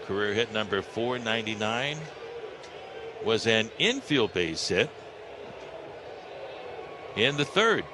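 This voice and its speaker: An American man calling a live baseball game on the radio, in clipped descriptive phrases with some drawn-out calls. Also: fairly low pitch. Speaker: baseball radio play-by-play announcer. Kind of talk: live play-by-play commentary. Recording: radio broadcast with clear background noise.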